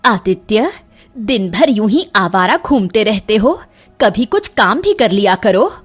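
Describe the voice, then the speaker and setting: Complaining cartoon village housewife, voiced by a woman, slightly high, animated, husky, nagging tone. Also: measured pace, soft background music. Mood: scolding. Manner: scolding, complaining. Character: nagging village housewife, adult woman, scolding sister-in-law.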